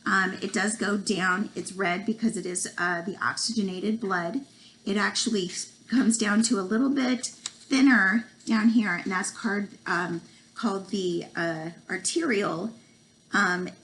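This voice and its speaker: A woman in her forties with a cold, losing her voice, giving a halting home video lesson full of ums and stumbling over medical terms. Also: mid-range voice, fairly bright tone, rough recording. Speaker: student presenter. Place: small room at home, indoors. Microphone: laptop webcam.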